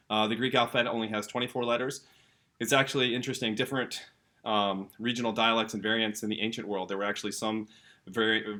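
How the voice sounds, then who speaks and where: A middle-aged man teaching a casual video lesson, relaxed and chatty, correcting himself as he writes on a board. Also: on the low side.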